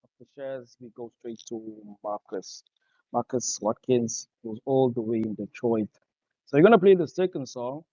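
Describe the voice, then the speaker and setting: Man, show host, upbeat shout-outs, mellow tone, dry, muffled phone-quality sound. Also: medium pitch, moderate pace, slightly rough, no echo. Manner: upbeat, giving shout-outs and introducing songs. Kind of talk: live online show hosting.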